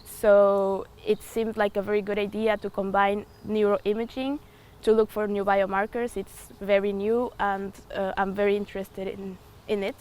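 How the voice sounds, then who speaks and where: Woman, 20s; thoughtful interview answers with fillers, average pitch, slight background noise. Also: flat delivery, normal speed, a little mellow. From Ecuador. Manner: thoughtful, hesitant with frequent fillers. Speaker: student.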